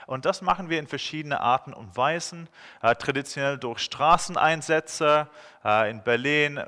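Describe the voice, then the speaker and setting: A man's voice: medium pitch, pushed and bright. A man in his thirties with an English accent, preaching a friendly, joking sermon with frequent hesitations. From England. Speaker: guest preacher. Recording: long hall reverb.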